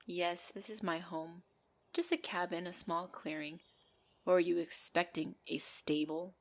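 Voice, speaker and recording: A woman's voice: fairly low pitch, measured pace. A woman voicing a possessive female centaur in an ASMR roleplay, bantering and commanding, with dry exasperation. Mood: softer, reassuring. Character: possessive female centaur, bossy, teasing, half-gentle captor.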